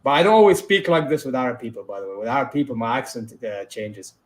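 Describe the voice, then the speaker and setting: A man's voice: mid-range voice, animated, fast talker. A man from Saudi Arabia with a British-influenced accent, co-hosting a casual livestream chat and bantering a lot, with plenty of "bro" and "you know what I mean". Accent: British-influenced English. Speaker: co-host, channel owner.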